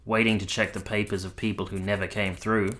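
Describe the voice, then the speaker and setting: Period radio drama: reserved, earnest captain played by a man, low register, forceful bright tone, formal literary delivery. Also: little pitch movement, a little fast, close to an everyday voice. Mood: somber, reflective. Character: earnest, reserved young army captain narrating his memories. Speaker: narrator and lead character.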